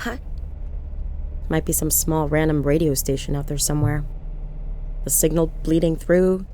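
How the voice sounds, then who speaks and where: A woman's voice: low voice, steady tone, moderate pace, warm tone, slight background noise, close to an everyday voice. An actress playing a lonely woman driving at night, confiding in a wry, digressive monologue for an audio horror drama. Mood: uneasy, confiding. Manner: wry, digressive, musing, breaking off into asides. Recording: music under the voice.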